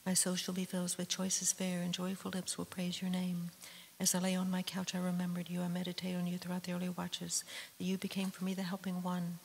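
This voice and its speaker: A woman intoning psalms and prayers in a steady monotone, with soft whispery edges on her words. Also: fairly low pitch, vocal fry. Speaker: liturgical reader. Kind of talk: solemn psalm reading. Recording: echoey church hall with hiss.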